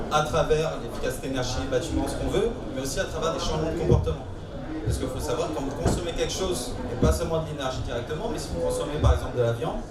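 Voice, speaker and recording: A man on a conference panel, talking in a quick, assertive way. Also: on the high side. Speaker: panel speaker. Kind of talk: panel discussion. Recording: roomy hall from far off, crowd.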